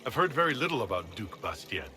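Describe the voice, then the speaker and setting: A man's voice: mid-range voice, sing-song, fast talker, bright, forceful tone. A man playing a blunt, self-possessed and coldly ruthless leader in a video game, speaking directly and tersely. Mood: guarded. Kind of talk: video game cutscene acting.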